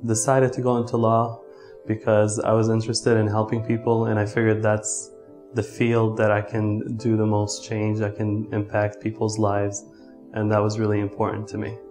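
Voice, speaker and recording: A young man from Lebanon speaks earnestly to camera in an office, with a lowish, fairly flat voice. He smiles through his answers and stumbles on the odd filler. Soft music plays faintly underneath. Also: moderate pace. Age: 20s. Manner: earnest, smiling, with fillers and restarts. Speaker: law student volunteer. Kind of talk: interview to camera. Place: indoor office.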